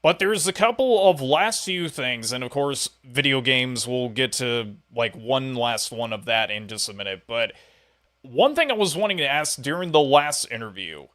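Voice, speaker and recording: A man with a bright, forward voice at a middle pitch hosts a casual interview. He talks in a chatty, rambling way, like a podcaster on an online call. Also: lively intonation, normal speed. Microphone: voice call on Discord.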